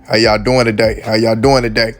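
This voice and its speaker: Hoarse male host, earnest casual commentary, faint music behind. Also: lowish voice, flat delivery, a little fast. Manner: earnest, repeats "man" often. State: hoarse, losing his voice.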